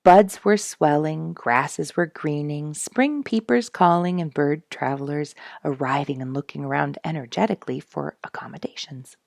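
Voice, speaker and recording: A woman storyteller reading a nature memoir aloud in an expressive style for a family audience, putting dramatic emphasis on words. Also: sits low, normal speed, vocal fry, few pauses, dry recording, studio quality.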